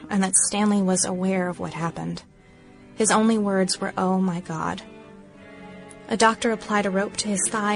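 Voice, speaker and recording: A woman's voice: mid-range voice, lightly acted. A woman playing a grieving small-town woman of the 1910s, solemnly reading her diary aloud for a radio play.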